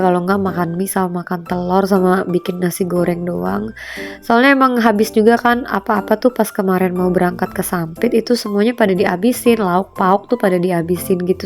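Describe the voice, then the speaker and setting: A woman's voice: lowish voice, gravelly, a little mellow. A young woman narrating a casual home vlog in a chatty way, her voice a little stuffy from a cold. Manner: chatty, fillers like gitu and guys. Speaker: vlogger, homemaker.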